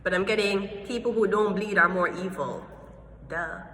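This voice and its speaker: A woman's voice: medium pitch, moderate pace, reverberant, in a large hall, a little hiss or hum. A Jamaican woman ranting casually and bluntly, swearing freely and acting out little exchanges as she talks. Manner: blunt, profane, animated storytelling with mimicked exchanges. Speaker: vlogger. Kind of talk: casual rant to camera.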